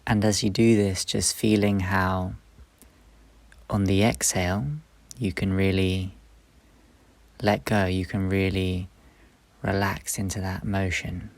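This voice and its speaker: A man leading a guided meditation, speaking slowly and gently in short, soft phrases with long pauses. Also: low voice, little pitch movement, no echo. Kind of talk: guided meditation, instructional and soothing.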